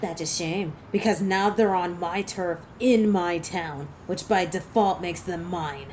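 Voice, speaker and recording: A woman's voice: fairly low pitch, unhurried, noisy background, lightly acted. A woman playing a defiant, hot-tempered, sarcastic female werewolf who protects her turf in an audio drama. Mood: defiant, menacing. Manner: sardonic, taunting. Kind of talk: dramatic audio roleplay acting.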